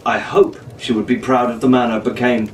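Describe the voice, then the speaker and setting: A proud, gallant young gentleman sailor with formal, condescending manners, acted by a man reading aloud. The storybook figure sounds set back from the mic in an echoey room. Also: medium pitch, level tone, brisk, faint music behind, natural voice. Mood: solemn. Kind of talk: audiobook character voice. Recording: roomy, noisy, distant microphone.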